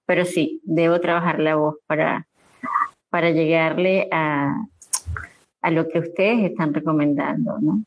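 Woman with a low voice, unhurried and soft, full of hesitations; dry, close sound. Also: fairly even intonation, no echo, dull audio. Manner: slow, halting, soft-spoken with many restarts. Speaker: social audio room participant. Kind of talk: casual chat.

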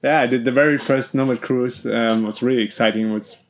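Podcast host, man, mid-pitched, warm agreeing manner, asks easy questions. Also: steady tone. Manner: friendly, encouraging, quick to agree. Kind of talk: casual podcast interview.

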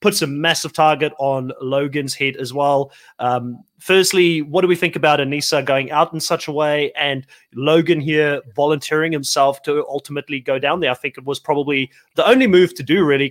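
A man's voice: a little high, steady tone, brisk, few pauses. A man, an Afrikaans speaker, hosting a casual panel discussion. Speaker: host.

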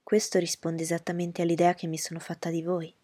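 Woman reading witty young woman, calm, low-ish voice, husky, audiobook. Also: fairly quick, no room sound.

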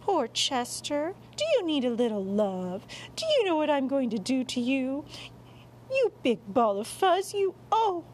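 A woman's voice: high-pitched, very animated, measured pace, darkish tone, a little hiss or hum, pitched above the performer's own voice. A woman voicing a doting, fussy mother who coos affectionately in a storybook reading. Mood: gushing affection. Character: doting mother cooing baby talk at her cat. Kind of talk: audiobook character voice.

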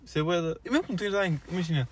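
A man's voice: on the high side, lively intonation, racing, a crowd in the background. A young man with a European Portuguese accent chatting casually as a podcast co-host, with slangy, filler-heavy speech. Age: young adult. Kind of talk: casual unscripted chat.

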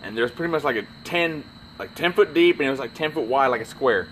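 A man telling profane stories in casual chat, animated and laughing through them. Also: mid-range voice, quick pace, a little hiss or hum, low-quality recording. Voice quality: gravelly, swears constantly. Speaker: podcast co-host telling stories.

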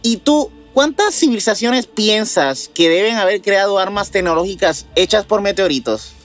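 A man's voice: high voice, lively intonation, moderate pace, fairly bright tone. Mexican man hosting over a music bed. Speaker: news host. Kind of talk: scripted mystery news narration with casual banter.

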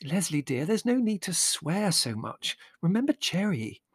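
A man voicing a gentle, mildly reproving mother in an audiobook, prim and placating. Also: high-pitched, big pitch swings, unhurried, breathy edge, no room sound, clean studio sound, voice pushed up, exaggerated voice. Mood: gently reproving.

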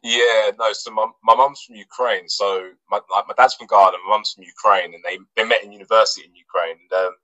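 A man's voice: lowish voice, brisk, hardly any pauses, no echo, dull audio. A man, a footballer from England, talking casually in an interview with lots of fillers and restarts. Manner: relaxed, hesitant, many fillers and restarts. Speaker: footballer guest.

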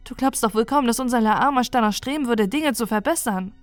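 Storybook companion, firm and persuasive, pitched up, voiced by a woman reader. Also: brisk, clear, resonant tone, dry recording, soft background music, natural voice. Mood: earnest. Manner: raised pitch, insistent and lecturing.

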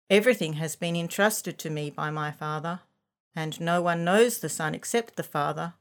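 A woman reading scripture aloud with measured, reverent phrasing. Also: fairly low pitch. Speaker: scripture reader.

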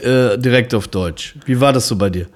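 A man in his forties hosts a relaxed interview podcast. He wanders through long questions, stopping and restarting with plenty of fillers, at a middling pitch. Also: normal speed. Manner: rambling, many fillers and restarts. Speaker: podcast host. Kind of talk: casual interview chat.

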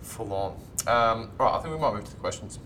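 An Australian man hosts a relaxed, informal interview in a deep voice. His way of talking is colloquial and laid-back. There is clear rumbling noise on the recording. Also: fast. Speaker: podcast host. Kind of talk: casual chat interview. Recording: noisy recording with low rumble.

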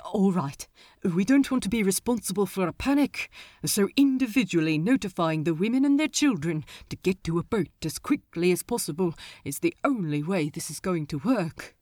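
Lady playing a polite sad gentleman in an audiobook, soft-spoken, dignified, no room sound. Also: fairly low pitch, animated, no room sound, clean studio sound. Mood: practical. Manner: soft-spoken, courteous, measured. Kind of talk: acted audiobook dialogue.

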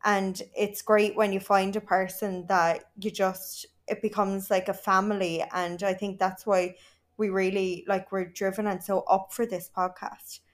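A woman's voice: medium pitch, flat delivery, a little slow. A young Irish woman chatting as a podcast guest, gushing and filling her talk with "like". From Ireland. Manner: gushing, lots of "like". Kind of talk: casual chat.

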